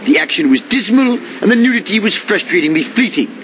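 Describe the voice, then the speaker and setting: A man voicing a pompous, pedantic, sarcastic nerd in an animated video game. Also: sits high, a little fast, a little hiss or hum. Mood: disdainful. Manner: pedantic, haughty. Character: pompous, sarcastic adult male comic-book nerd.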